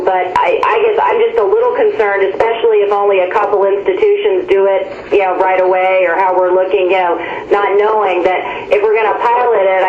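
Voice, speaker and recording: Female meeting participant on a call, questioning and tentative, dull reverberant connection. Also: medium pitch. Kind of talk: work meeting discussion on a conference call. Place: telephone conference call. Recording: dull, echoey phone line, faint noise.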